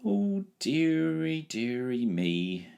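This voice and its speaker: A man's voice: mid-range voice, big pitch swings, drawn-out words, vocal fry, darkish tone, clear, resonant tone, pitched above the performer's own voice, full character voice. A man voicing a lazy, plaintive old dragon. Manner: plaintive, drawling. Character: lazy, timid, fussy male dragon.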